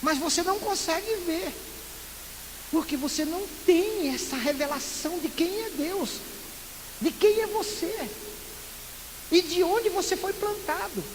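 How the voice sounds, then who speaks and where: High-voiced preacher guy from recife, lots of questions and little jokes, loud hiss in the recording. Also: a little slow, long pauses. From Recife, northeastern Brazil. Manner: lively preaching full of rhetorical questions and playful asides. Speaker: pastor. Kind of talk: sermon. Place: church sanctuary. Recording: echoey church hall with loud hiss.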